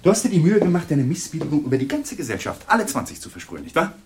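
An actor playing a weary, sardonic and defensive lawyer husband in a German radio crime play, with a dry, ironic delivery. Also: male voice, a little high, huge pitch swings, rapid-fire, background noise. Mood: bitter.

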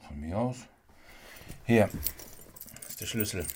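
An actor playing a gruff but fond father who runs a hotel, casual and matter-of-fact, in a German children's radio drama. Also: lowish voice, expressive, slow, a little mellow, heavily acted.

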